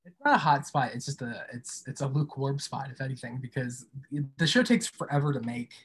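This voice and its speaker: Guy being interviewed, bit raspy mid-range voice, informal and thoughtful, just chatting. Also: medium pitch, a little fast, fairly bright tone. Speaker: podcast creator and guest being interviewed. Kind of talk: casual interview chat.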